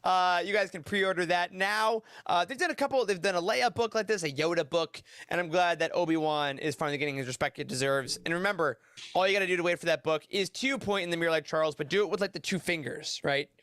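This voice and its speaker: A man with a notably high voice hosts a casual news segment. He is chatty, upbeat and quick to joke. The sound is dry, with little room around him. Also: a bit bright, clean tone, no echo. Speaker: podcast host. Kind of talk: casual news roundup chat.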